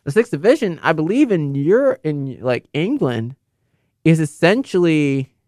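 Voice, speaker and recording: A man's voice: on the high side, big pitch swings, measured pace, darkish tone, no echo, clean studio sound. A young man in casual, unscripted commentary, rambling and correcting himself with many fillers. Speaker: football video host.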